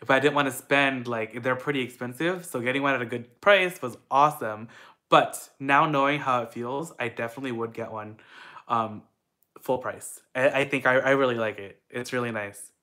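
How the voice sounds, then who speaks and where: A man's voice: mid-range voice, normal speed, pushed and bright. A young man from the Philippines chatting casually at home, answering viewer questions in an upbeat way with lots of fillers. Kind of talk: casual Q&A chat.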